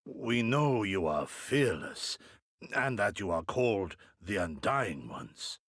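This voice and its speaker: An actor playing an earnest, proud samurai in a video game, speaking formal archaic lines with dramatic pauses. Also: male voice, on the low side, big pitch swings, a bit bright, dry recording, clean studio sound, full character voice. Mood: measured. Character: earnest, theatrical samurai warrior, proud and inquisitive.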